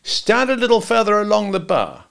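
A man's voice: high voice, sing-song, normal speed, vocal fry, a bit bright, pitched above the performer's own voice, heavily acted. A man voicing a small, bossy gangster hitman who barks curt, contemptuous orders.

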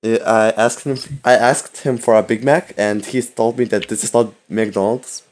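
A man trolling strangers in game voice chat, deadpan and mock-indignant, talking into a headset mic. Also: lowish voice, moderate pace. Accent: put-on Russian. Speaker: prank-style player in voice chat.

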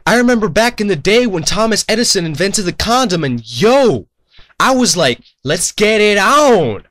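A man's voice: high voice, big pitch swings, normal speed, fairly bright tone. A man voicing a cocky, smug young water mage in a comic game parody dub, with a sarcastic, swaggering delivery. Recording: music under the voice.

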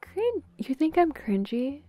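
Bubbly, high-voiced playful girlfriend, acted by a woman. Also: very animated, slow, mellow, no echo, heavily acted. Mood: giddy, teasing. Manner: giggly, teasing, coaxing, breathy. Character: bubbly, playful, affectionate young girlfriend on a date. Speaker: audio roleplay voice performer. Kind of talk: intimate girlfriend roleplay audio acting.

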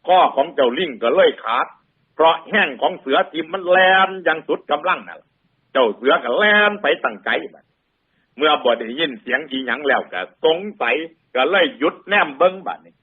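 A man's voice: a little high, expressive, a little fast, breathy edge, narrow, tinny audio. A man with an Isan accent telling a moral folk tale to lay listeners in a colloquial, repetitive oral style. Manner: colloquial, repeats phrases for emphasis. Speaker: Buddhist storyteller addressing lay listeners.

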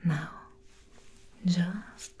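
A young woman playing a calm, commanding female assassin in a whispered ASMR roleplay, slowly and softly instructing the listener up close. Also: fairly low pitch, breathy edge, full character voice. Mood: hypnotic, soothing. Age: young adult. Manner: slow, hushed, instructing the listener. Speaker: ASMR performer. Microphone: very close ASMR microphone.

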